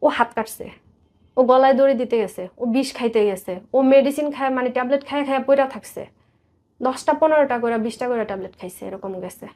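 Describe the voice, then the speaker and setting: A Bangladeshi woman in her thirties with a slightly high-pitched voice. She talks as a guest sharing her own life, often hesitating and correcting herself as she goes. The delivery is conversational and confessional. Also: a little fast, a little mellow. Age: 30s. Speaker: guest telling life story. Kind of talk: personal confession in interview.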